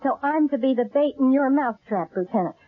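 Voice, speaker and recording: A woman's voice: high voice, expressive, a little fast, husky. A woman playing a wry, theatrical stage psychic in an old-time radio mystery. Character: weary, wry female stage psychic, uneasy under threat. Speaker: actress. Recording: muffled, narrow-band old radio recording.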